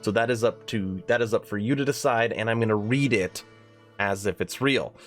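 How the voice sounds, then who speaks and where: A man's voice: lowish voice, normal speed, soft background music. Nasal man with a cold. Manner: earnest, conversational, reads a forum post aloud. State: has a cold. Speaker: gaming channel host. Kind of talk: gaming news commentary.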